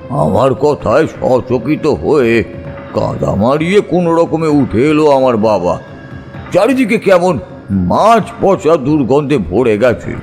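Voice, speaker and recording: Expressive male storyteller with lively pitch. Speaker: audio story narrator. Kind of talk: dramatic scripted narration of a village ghost story.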